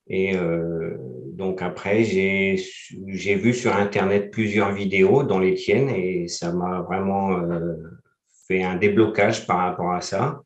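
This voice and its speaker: A man's voice: low voice, fairly even intonation, slow, roomy sound, muffled recording. A man in his forties from France, a guest in a casual interview, who talks hesitantly with many fillers. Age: 40s.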